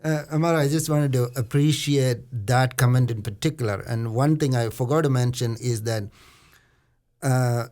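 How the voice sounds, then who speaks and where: A man's voice: mid-range voice, normal speed, darkish tone. A man hosting a conversational interview, talking in a discursive way with many a "you know". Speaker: host.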